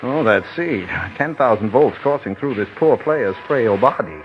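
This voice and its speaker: A man's voice: medium pitch, lively intonation, moderate pace, muffled recording, noisy background, close to an everyday voice. Man acting a theatrical, scheming, guilt-crazed prisoner. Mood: self-coaching, intense. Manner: theatrical, melodramatic, muttering asides and ranting. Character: scheming prisoner feigning frenzied guilt-crazed madness to escape execution. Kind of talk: vintage radio drama acting.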